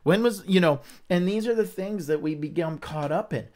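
Male Bible study host with a slightly high voice. Candid, earnest, conversational, and prone to restarts. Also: normal speed, dark tone. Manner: rambling with frequent restarts. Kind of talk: livestreamed Bible reading and discussion.

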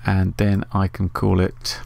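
Low-pitched man with a creaky voice. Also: completely flat delivery, unhurried, darkish tone. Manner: informal, thinking aloud as he works. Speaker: tutorial host. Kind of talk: casual screen-recorded tutorial. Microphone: USB desktop microphone.

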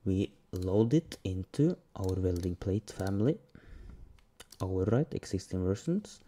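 A man hosting a software tutorial, talking through each step plainly with pauses over the sound of clicks and typing. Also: low-pitched, animated. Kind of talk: screen-recorded software tutorial.

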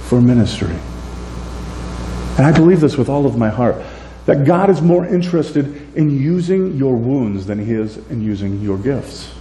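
A man in his forties preaching a sermon in a church, animated and gesturing, moving between loud and soft. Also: mid-range voice. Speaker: pastor. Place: church sanctuary, indoors. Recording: echoey church room, faint hum.

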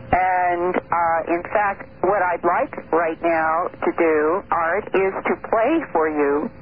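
A middle-aged woman speaking as a guest on a radio interview, telling her findings in an earnest, measured, detailed way over the phone. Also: on the low side, a little hiss or hum. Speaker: guest investigator. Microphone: phone call into radio show. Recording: tinny phone line on old radio broadcast.

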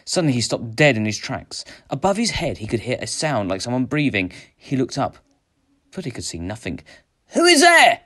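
A man reading aloud a children's adventure story in an expressive narrating style. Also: medium pitch, brisk. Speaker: story reader.

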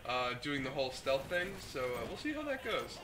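A man's voice: medium pitch, sing-song, fairly quick. Sick young man swearing through gameplay. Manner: profane, reacts out loud to the game. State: sick with the flu, hoarse. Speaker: gaming host. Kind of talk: casual gameplay commentary. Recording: noisy home mic recording.

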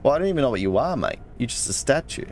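A man's voice: medium pitch, wildly swooping pitch, rapid-fire, gravelly, darkish tone, slight background noise. A man giving casual let's play commentary, thinking aloud to himself as he plays. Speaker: gaming channel host. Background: game music.

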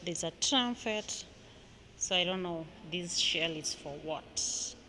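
A woman's voice: lowish voice, slow pace, sharp tone, slight background noise. A young Kenyan woman vlogging a museum tour, chatting casually as she points out exhibits on a handheld phone. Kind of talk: casual walking tour commentary. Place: indoor museum halls. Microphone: handheld phone camera.

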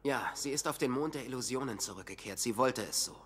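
A man's voice: medium pitch, fast talker, fairly bright tone, slight background noise, lightly acted. An actor playing a grave, resigned man in a fantasy anime audio drama.

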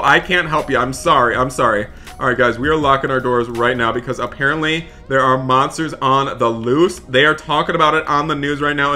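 Bright, melodramatic man narrating gameplay. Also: medium pitch. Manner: breathless, melodramatic, repetitive exclamations. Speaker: gaming video host. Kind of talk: excitable roleplay gameplay commentary.

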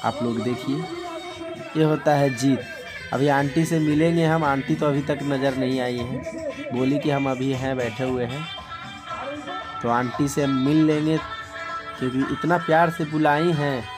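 A man narrates his vlog live from a packed outdoor street rally, cheering on the crowd for his viewers. He speaks slowly and stretches his words. Loud DJ music plays clearly behind him. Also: medium pitch. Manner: excited, hyping the crowd to viewers. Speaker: vlogger.

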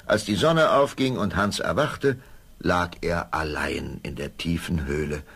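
A man narrating a scripted fairy tale for an audio play in a gravelly voice. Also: deep voice, unhurried, a bit bright.

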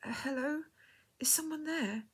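A woman storyteller plays a compassionate, devout mother in a dramatic tale. The voice is lifted in pitch, earnest and urgent, full of emotion. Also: a little fast, breathy edge. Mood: concerned, flustered.

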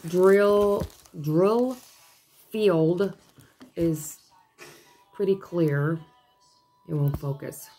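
A woman with a hoarse, failing voice chatting casually at her dining table as she unboxes craft kits, rambling and breaking off mid-thought. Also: fairly low pitch, extremely slow, pauses often, dark tone. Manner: rambling, chatty, self-interrupting. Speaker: craft vlogger. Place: home dining room, seated at a table.

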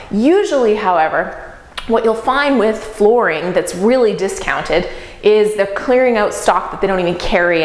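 A woman in her thirties, talking to camera in a casual, upbeat vlog, standing in an empty bedroom. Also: mid-range voice. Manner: upbeat, chatty, practical. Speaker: real estate investor vlogger. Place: empty bare-walled bedroom indoors. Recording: echoey empty room.

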